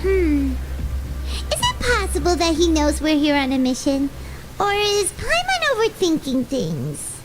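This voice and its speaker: A woman voicing a small, excitable, chatty fairy companion in a video game, with a squeaky childlike voice and an expressive manner. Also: a little slow, heavily acted. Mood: pondering. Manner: expressive, refers to self in third person. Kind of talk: animated video game voice acting. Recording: game audio under loud music. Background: loud game music.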